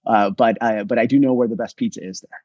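A man's voice: on the low side, steady tone, fast talker, darkish tone, dry recording, highs cut off. A male policy expert, born in Israel and raised in New England, whose first language is Russian. He answers in a self-deprecating, conversational way with many restarts. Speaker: think tank policy expert, guest.

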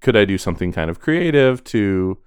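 A man's voice: on the low side, sing-song, moderate pace, clean tone, no room sound, studio quality. A man co-hosting a podcast in casual chat, talking in a chatty, self-deprecating way. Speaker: podcast co-host.

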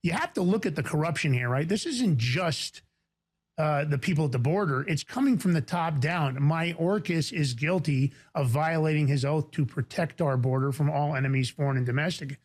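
A man from the Bronx with a New York accent, hosting a talk show in a fast, emphatic, indignant way. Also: fairly high pitch, slightly rough. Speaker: podcast host.

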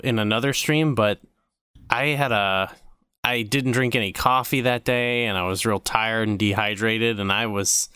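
A man's voice: lowish voice, normal speed, sharp tone, smooth, dry recording, studio quality. A man co-hosting a podcast in casual chat, laid-back and a little hesitant in how he talks. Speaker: podcast co-host.

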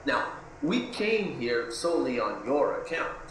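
A man's voice: mid-range voice, big pitch swings, measured pace, a bit bright. A man playing a controlling, condescending husband in a radio play, speaking in a formal, admonishing way. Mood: patronizing reproach. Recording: very echoey room, faint noise.

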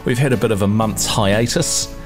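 Young NZ male podcast co-host, low voice, loose and chatty, lots of restarts. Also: normal speed, music in the background. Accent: New Zealand. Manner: chatty, laughs often, repeats words when starting. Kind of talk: casual chat. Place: apartment with street traffic.

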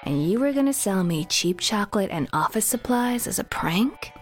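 A brash, determined young woman, played by an actress talks in a casual, sarcastic way. Her pitch is mid-range for a woman, and it moves up and down a lot. She is the lead in a comedic audio drama. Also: expressive, moderate pace, faint music behind, close to an everyday voice.

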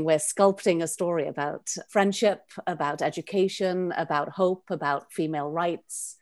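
Welsh woman guest, lowish voice, dry close mic, chatty and expansive. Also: a little mellow, dry recording. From Wales. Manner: chatty, expansive, laughs often. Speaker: playwright and director, guest. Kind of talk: podcast interview.

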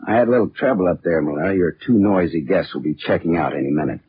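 An actor playing a tough, wry American private detective, deadpan and wisecracking, in hardboiled 1940s radio drama style. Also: male voice, low-pitched, quick pace, lightly acted. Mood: tough, needling. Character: wry, tough male private detective narrating his case. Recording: muffled old radio broadcast with music.

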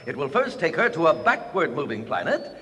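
A man's voice: on the high side, huge pitch swings, a little fast, pushed and bright, heavily acted. An actor in a radio play playing a fussy, unflappable male scientist, mild and precise in manner. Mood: reassuring. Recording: long reverberant tail on the voice.

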